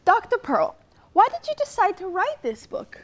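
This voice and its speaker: A woman's voice: very high-pitched, huge pitch swings, normal speed, exaggerated voice. A woman playing a perky talk show host, introducing and questioning a guest in a showy, bubbly way. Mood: polite. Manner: bubbly, showy announcer delivery. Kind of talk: parody talk show interview.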